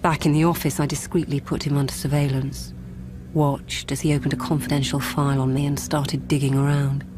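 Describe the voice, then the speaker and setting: An actress playing a calm, ruthless politician who narrates a radio drama in a measured, controlled and quietly menacing way. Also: female voice, low voice, close to an everyday voice. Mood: cool, controlled. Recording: music behind the voice.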